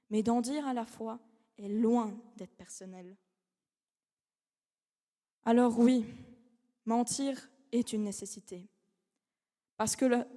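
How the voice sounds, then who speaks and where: A young woman delivers a formal eloquence speech in a large academic hall, her fairly high voice carrying with a long reverb. She speaks in measured, dramatic phrases with rhetorical pauses, in French that is not her first language. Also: dark tone. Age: young adult. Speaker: law student giving a competition speech.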